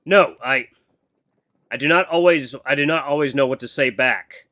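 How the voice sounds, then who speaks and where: A man performs a stern male beast-man mentor in an audio story. He sounds stiff, blunt and formal, with a bright, forceful edge and an awkward lack of social grace. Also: mid-range voice, moderate pace, close to an everyday voice. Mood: awkward, earnest.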